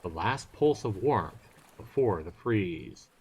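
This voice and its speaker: A man's voice: fairly low pitch, animated, slow pace, darkish tone, husky. A man streaming a visual novel, reading it aloud with joking, casual asides. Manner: jokey, bantering asides between read passages. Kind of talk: live game read-aloud with casual banter.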